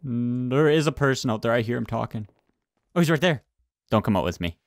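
A man hosting a gaming video, giving excitable, urgent callouts and casual roleplay commentary as he plays with others. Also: medium pitch, brisk, dry recording.

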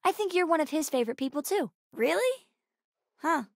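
A woman voicing a bright, bookish, chatty young girl with an American accent, as a video game character. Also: high voice, a little fast, gravelly, no room sound, studio quality. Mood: chatty, curious. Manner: chatty, expressive, youthful.

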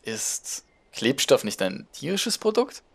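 A man's voice: medium pitch, huge pitch swings, takes it slowly, creaky, heavily acted. A man voicing an exasperated, nerdy male researcher with a dry, lecturing manner in an audiobook. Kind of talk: audiobook character acting.

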